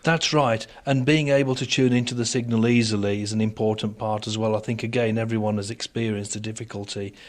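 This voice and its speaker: A man with a lowish voice and very little melody answers radio interview questions. He explains technical matters in formal, measured sentences and articulates his points with care. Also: steady tone. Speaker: interviewed expert on broadcast technology.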